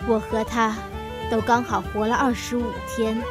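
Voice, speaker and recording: An actress playing a contented young villager in a radio drama, matter-of-fact and untroubled. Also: female voice, a little high, level tone, moderate pace, lightly acted. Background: background music.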